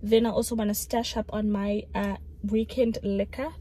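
A woman's voice: medium pitch, level tone, moderate pace, warm tone, slight background noise. A young woman, chatting casually to a phone camera as a vlogger while running errands, laughing often. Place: car and shops, out and about.

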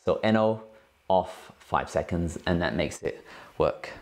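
A man's voice: deep voice, lively intonation, measured pace, background noise. A man hosting a tech tutorial in a casual, informal, slangy way, explaining things step by step. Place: indoors at a cluttered work desk.

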